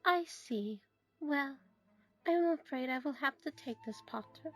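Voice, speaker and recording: A woman's voice: sits high, lively intonation, unhurried, a bit bright, faint music behind. A woman acting a stern older schoolteacher in an audio drama, clipped, formal and no-nonsense.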